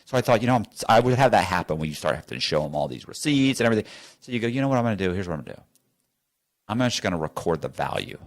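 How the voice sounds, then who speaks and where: A man's voice: on the low side, sing-song, racing. A man being interviewed, telling stories in a rambling, blunt way and acting out both sides of conversations. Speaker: interview guest. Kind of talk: animated storytelling with acted-out dialogue. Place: studio.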